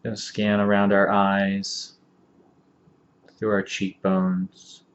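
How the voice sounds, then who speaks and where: Low, even-voiced man guiding meditation. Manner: calm, unhurried, gentle phrasing with long pauses. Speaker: meditation instructor. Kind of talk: guided meditation, body scan instruction. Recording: dull lo-fi audio, faint background noise.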